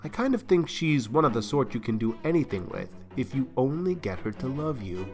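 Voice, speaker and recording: A man's voice: mid-range voice, sing-song, brisk, soft background music, close to an everyday voice. A man playing a shy, kindly old bachelor farmer in an audiobook, mild and folksy. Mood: gentle, reassuring.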